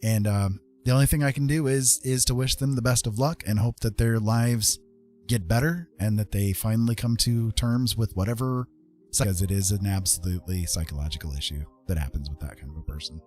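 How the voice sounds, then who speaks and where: A man's voice: on the low side, a little fast, a little mellow, faint music behind. A man hosting a livestream, talking in a casual, unscripted, rambling and conversational way. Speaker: live streamer hosting a chat stream.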